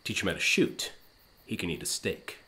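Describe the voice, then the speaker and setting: An actor playing a brash, self-satisfied starship captain in a comedic science-fiction radio play, glib and pompous. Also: male voice, deep voice, animated, normal speed, pushed and bright. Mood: defensive.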